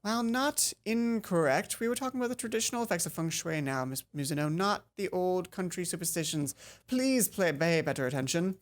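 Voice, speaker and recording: Woman playing a stern, correcting lady teacher, swooping pitch. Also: lively intonation, brisk, close to an everyday voice. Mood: reproving. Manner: reproving, precise. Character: stern, correcting female schoolteacher.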